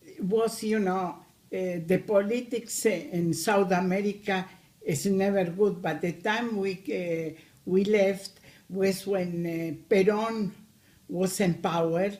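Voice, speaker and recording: Elderly Argentine-accented grandmother in her eighties, unhurried, halting delivery. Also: medium pitch, steady tone, a little mellow. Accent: Argentine Spanish. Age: 80s. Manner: slow, hesitant, many pauses. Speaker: grandmother interviewee. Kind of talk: recorded family interview.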